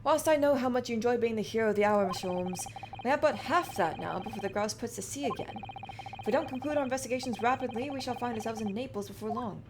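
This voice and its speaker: A woman's voice: fairly high pitch, fast talker, noisy background, close to an everyday voice. A woman voicing an earnest, polite young man who is the exasperated partner of a detective. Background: game music.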